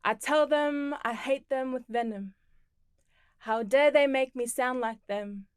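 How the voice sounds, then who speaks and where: A woman from the Torres Strait Islands and Tonga performs spoken word poetry in a slow, deliberate way. Her voice sits a little high and sounds smooth and crisp. The recording is close and dry. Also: no room sound. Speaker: performing poet.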